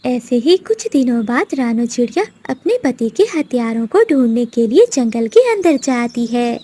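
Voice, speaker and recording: High, soft-toned woman storyteller. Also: very animated, normal speed, slightly rough. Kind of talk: scripted children's story narration.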